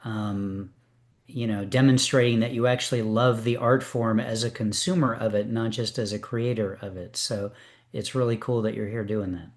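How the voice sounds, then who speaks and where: A man's voice: lowish voice, fairly even intonation, moderate pace. A man casually hosting a poetry open reading, chatting in an encouraging way.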